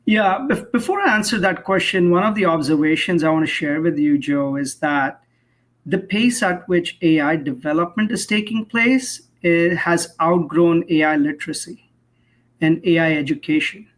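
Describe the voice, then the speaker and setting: A man speaking as an expert interview guest, explaining in an articulate, measured way and posing rhetorical questions. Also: a little high. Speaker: cybersecurity professional, interview guest.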